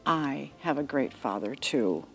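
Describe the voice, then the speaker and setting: A woman voicing a gentle, tender teacher. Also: lowish voice, animated, slow, soft background music. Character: Jesus, a gentle, kind grown man teacher.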